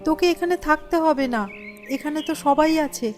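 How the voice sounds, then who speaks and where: A woman voicing an anxious, doting village mother in an animated ghost story, fretful and coaxing. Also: high-pitched, a little fast, soft background music, close to an everyday voice. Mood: soothing, weak.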